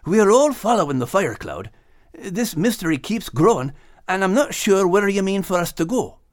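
A man's voice: high-pitched, sing-song, moderate pace, dry recording, studio quality, voice pushed up. A man voicing an earnest, plucky male dog with a Scottish accent and folksy dialect in an audiobook. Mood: pleading, perplexed. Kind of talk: audiobook character acting.

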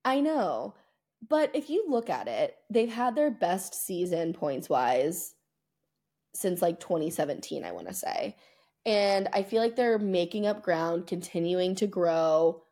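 A woman's voice: lowish voice, mellow. A woman co-hosting a sports podcast in casual, chatty conversation, with a playfully self-mocking way of talking. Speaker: podcast host. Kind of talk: casual sports podcast chat, season recap.

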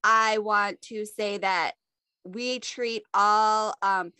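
A woman's voice: medium pitch, fairly even intonation, drawn-out words, pushed and bright, clean tone, no room sound. A young adult woman co-hosting a podcast, sniffly with a cold, chatting in a rambling, self-deprecating way. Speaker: podcast co-host. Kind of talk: casual chat.

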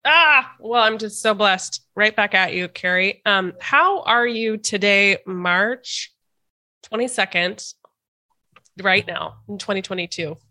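A woman's voice: medium pitch, slow pace, pushed and bright. A woman hosting a casual interview podcast, chatty and hesitant with many fillers and restarts, a little stuffy from a cold. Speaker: podcast host.

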